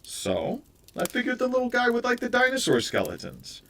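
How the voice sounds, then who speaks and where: A man voicing a flustered, fast-talking man dodging blame, who stammers and fills his talk with hesitations. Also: very high-pitched, wildly swooping pitch, vocal fry, fairly bright tone, slight background noise, pitched above the performer's own voice, exaggerated voice. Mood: defensive. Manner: stammering, full of uh fillers. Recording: voice a bit far from the mic.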